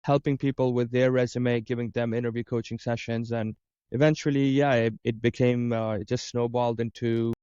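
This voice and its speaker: A man's voice: medium pitch, level tone, fairly quick, dark tone, clear, resonant tone, no room sound, highs cut off. A man from Dubai answering interview questions as a career coach, talking conversationally and explaining with lists of examples. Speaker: interview guest, career coach and business owner.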